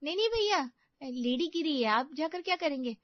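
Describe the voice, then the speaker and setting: A woman voicing a young, quick-witted daughter-in-law in a family story, complaining and glib in manner. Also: high voice, animated, dry recording, dull audio. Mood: glib, excusing.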